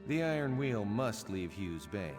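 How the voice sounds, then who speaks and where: A self-assured merchant schemer in a fantasy video game. His low voice glides smoothly and insinuatingly over clear music, in a long reverb. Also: sing-song, measured pace. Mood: silky, scheming.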